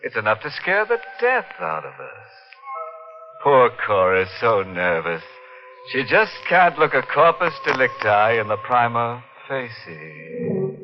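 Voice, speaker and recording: Actor as a sardonic 1950s radio horror host, slow, sing-song delivery, muffled vintage broadcast. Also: male voice, medium pitch, big pitch swings, soft background music. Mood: mocking. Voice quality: ghoulish, punning delivery. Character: sardonic male horror-show host, joking about death. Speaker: host of a radio mystery show. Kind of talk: 1950s radio drama hosting.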